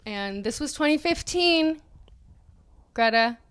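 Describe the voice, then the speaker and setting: A woman's voice: sits high, lively intonation, slow pace, fairly bright tone, clear, resonant tone. A woman co-hosting a podcast, giving a mocking dramatic reading of an article with casual asides, prone to laughing as she reads. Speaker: podcast co-host.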